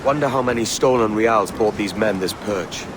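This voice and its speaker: A man's voice: fairly low pitch, moderate pace, noisy background, lightly acted. A man acting a roguish young pirate sailor in a video game, with a Welsh accent and a breezy, wry, cheeky manner. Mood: wry, easygoing.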